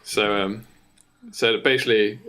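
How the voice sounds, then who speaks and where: Guy on a podcast making up a story as he goes, kinda halting, bright forceful voice. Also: fairly low pitch, fairly even intonation. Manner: halting, thinking aloud. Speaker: podcast co-host. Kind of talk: casual improvised story pitching.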